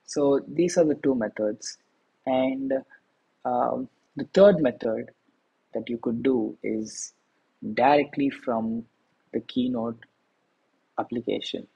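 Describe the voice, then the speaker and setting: A man hosting a screen-recorded software tutorial, explaining each step plainly with frequent fillers. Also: medium pitch, slow pace, gravelly, long pauses, slightly rough, muffled recording. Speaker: tutorial host.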